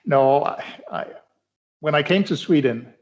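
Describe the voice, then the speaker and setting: A middle-aged man from Denmark, a cardiology researcher answering interview questions in a halting way, with many fillers and restarts. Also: fairly high pitch. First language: Danish. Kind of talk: conversational expert interview. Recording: dull lo-fi sound.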